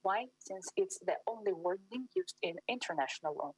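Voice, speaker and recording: Husky woman giving a formal webinar talk. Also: mid-range voice, animated, normal speed, dry recording, rough recording. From Russia, Siberia. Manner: careful, formal, with frequent fillers and restarts. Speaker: academic presenter. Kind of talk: webinar presentation. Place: small room at home.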